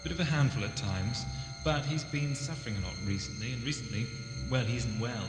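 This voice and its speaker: A man plays an eccentric, inquisitive doctor in a sci-fi radio play. He muses with wry theatricality in a medium voice. Long reverb and clear music surround him. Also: mid-range voice, fairly quick, lightly acted. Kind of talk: science fiction audio drama acting. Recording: reverberant hall with music behind.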